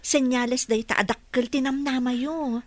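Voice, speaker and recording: A woman's voice: high voice, steady tone, moderate pace, a little hiss or hum, natural voice. A woman with an Ilocano accent acting in a radio drama as an encouraging, devoted therapist. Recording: dry studio with background music.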